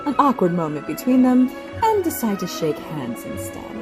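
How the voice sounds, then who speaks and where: A woman's voice: medium pitch, very animated, normal speed. A woman giving dramatic scripted narration for an audio play, with music behind her.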